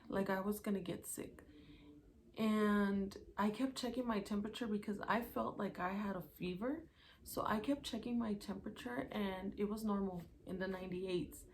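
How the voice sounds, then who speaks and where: A woman's voice: medium pitch, slight background noise. A young woman talking casually to her channel, recounting events day by day from her notes with plenty of fillers. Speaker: YouTuber. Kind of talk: casual vlog storytelling.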